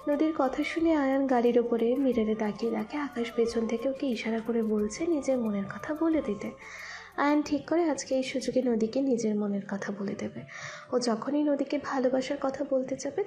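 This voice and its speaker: A woman's voice: on the high side, fairly quick, warm tone. A woman reading scripted narration for a dramatic audio love story. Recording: music behind the voice.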